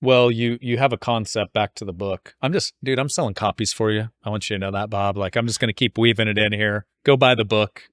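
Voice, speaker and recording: A man's voice: lowish voice, steady tone, brisk, few pauses, a bit bright, dry recording, clean studio sound. A man hosting a podcast interview in a casual, informal, jokey way, building up loosely to his questions. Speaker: podcast host interviewing an author.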